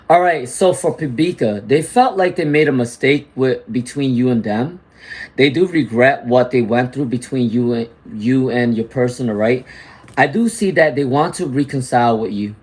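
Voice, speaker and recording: A man doing a live tarot reading at a middling pitch. He talks to his viewers directly, greets people from the chat, and keeps checking in with "all right?" and "okay?" as he goes. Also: moderate pace, darkish tone, poor audio. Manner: reads out viewer comments and greets chatters. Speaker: tarot reader.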